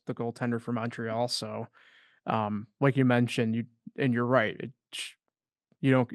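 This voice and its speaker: A man's voice: medium pitch, no room sound. A man co-hosts a casual sports podcast, wandering through his points with plenty of filler words. His voice sits in an ordinary middle range. The sound is dry and close, with no room around it. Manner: loose and rambling, heavy on fillers.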